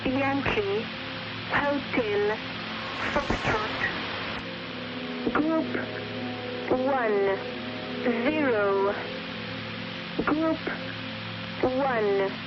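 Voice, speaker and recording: Female numbers-station announcer, higher pitch, extremely slow read-out, dull radio recording under loud music.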